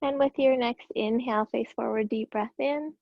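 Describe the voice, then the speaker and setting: Yoga teacher, female, high-pitched and raspy, gentle breath cues, dry and dull lo-fi sound. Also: normal speed, no echo. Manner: calm, gentle cueing with breath. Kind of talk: guided yoga class instruction.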